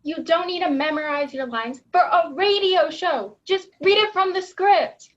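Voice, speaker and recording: A woman's voice: extremely high pitch, measured pace. A teenage girl playing a practical, patient stage manager in a comedy, matter-of-fact, on lo-fi call audio. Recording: dull lo-fi video-call audio.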